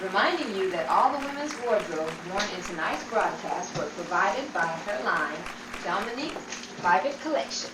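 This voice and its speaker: Woman announcer, formal scripted credits, slightly off-mic with loud noise behind. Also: mid-range voice, animated, moderate pace, crowd noise. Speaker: radio show cast member, announcer. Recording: roomy, distant mic, loud background noise.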